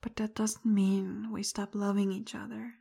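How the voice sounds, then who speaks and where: A woman talking softly and very slowly in a breathy, husky voice with a gravelly fry. Her tone is mellow and dark, and she speaks directly to the listener. Much of what she says is whispered. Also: medium pitch, flat delivery. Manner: apologetic, tender, soft-spoken; frustrated and confrontational at first. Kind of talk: roleplay audio addressed to the listener.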